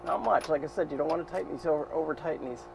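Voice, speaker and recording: Man, fifties, boat owner narrating his own repair, somewhat high voice, loud engine noise behind. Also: very fast, vocal fry. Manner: plain practical talk with fillers, thinking aloud while working. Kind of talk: hands-on repair walkthrough. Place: cramped boat engine room. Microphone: action camera mounted in the engine room. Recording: noisy engine room. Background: running boat engine.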